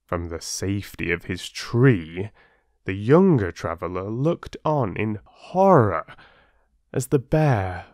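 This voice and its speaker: Slow, deep-voiced male storyteller. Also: sing-song, a little mellow, no echo, studio quality. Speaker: storyteller and channel host. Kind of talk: expressive storybook narration and listener address.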